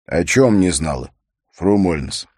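Audiobook role: sombre male investigator, restrained and serious, low voice man reading the part. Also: animated, measured pace, a little mellow, breathy edge, no echo, studio quality, heavily acted. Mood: guarded sympathy. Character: reserved, sombre male investigator, gently questioning.

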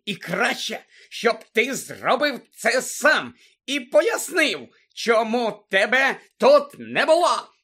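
A man's voice: high-pitched, moderate pace, fairly bright tone, voice pushed up. A man voicing a cranky, frantic old woman from a storybook, scolding and bossy in a screechy way. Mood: angry scolding.

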